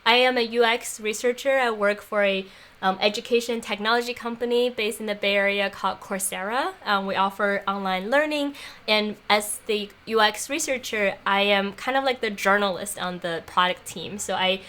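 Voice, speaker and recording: A young woman talking in a casual interview, thoughtful and warm, laughing often and using plenty of fillers. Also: mid-range voice, moderate pace. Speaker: guest, former apprentice. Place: makeshift home studio on an island.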